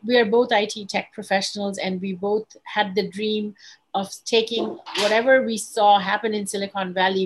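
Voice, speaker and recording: Middle-aged woman from Bangladesh, measured. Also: mid-range voice, husky, poor audio. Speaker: guest, tech investor. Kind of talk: online interview.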